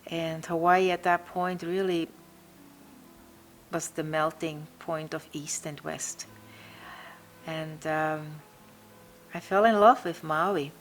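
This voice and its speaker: A Swiss-accented woman with a deep, low voice tells how her retreat came to be. She speaks warmly and with animation, and a faint hiss sits under her voice. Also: slow, long pauses. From Switzerland. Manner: animated, personal storytelling. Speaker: retreat owner. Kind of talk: interview storytelling.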